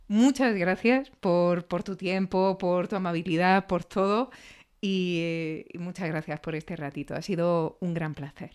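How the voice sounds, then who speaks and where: A woman's voice: medium pitch, clear, resonant tone. A woman from hosting a conversational interview, curious and openly appreciative of her guest. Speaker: podcast host and interviewer.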